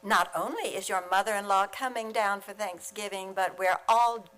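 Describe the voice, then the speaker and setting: Actress as quick-witted woman, wry, deadpan, mid-range voice, old-time radio comedy style. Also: medium pitch, close to an everyday voice. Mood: wry dismay.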